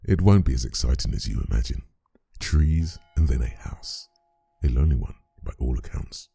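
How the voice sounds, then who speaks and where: Very deep gravelly weary priest. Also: male voice, animated, normal speed, mellow, heavily acted. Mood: weary, concerned. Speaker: voice actor. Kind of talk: audio drama acting.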